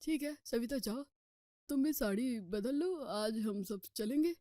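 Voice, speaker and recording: A woman voicing a humble, affectionate elderly father, speaking softly and fondly in a family story. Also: a little high, expressive, unhurried, no room sound, studio quality. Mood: fond, touched.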